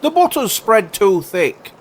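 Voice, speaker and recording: A man's voice: high voice, big pitch swings, slow, slight background noise, voice pushed up, heavily acted, rough recording. A man voicing a curt, grumbling, unfeeling carpenter in a storybook poem, emphatic and complaining.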